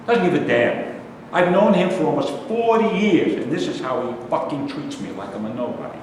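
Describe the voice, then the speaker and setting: A man's voice: fairly high pitch, big pitch swings, normal speed, a little mellow. A man acting a bitter, aggrieved middle-aged character in a staged play reading, stammering and argumentative. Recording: echoey hall, distant mic, faint noise.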